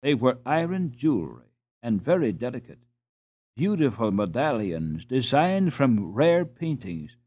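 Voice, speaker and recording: A male audiobook narrator reads scripted prose in a measured, dramatic manner. His mid-pitched voice moves widely up and down, giving each phrase expressive shape. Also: lo-fi sound.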